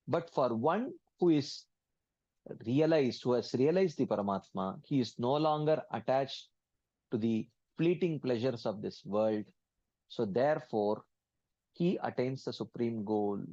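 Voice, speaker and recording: A man leading an online scripture study class. He chants verses in Sanskrit, then explains them in English in a halting way, with many fillers and restarts. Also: mid-range voice, dark tone, no echo. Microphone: computer mic on an online call. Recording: dull, dry lo-fi call audio.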